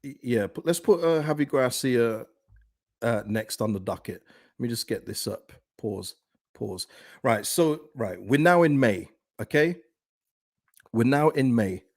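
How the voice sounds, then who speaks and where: Deep-voiced man chatting casually, close and dry. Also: lively intonation, unhurried, no echo. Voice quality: deep, soothing voice. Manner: conversational, rambling. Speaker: host of a football fan channel. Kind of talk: casual livestream chat, reading and answering viewer comments.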